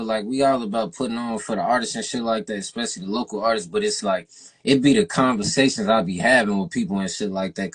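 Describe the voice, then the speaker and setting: A young man with a husky voice hosts an interview on a local radio show. His talk is casual and slangy, full of "you know what I'm saying". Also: on the low side, poor audio. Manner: loose slangy talk, lots of "you feel me".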